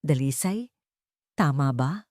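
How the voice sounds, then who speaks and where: A woman's voice: deep voice, lively intonation, takes it slowly, darkish tone, dry recording, clean studio sound. A woman voicing a wealthy, reserved lady with a Filipino accent, composed, serious and authoritative, in an audio story.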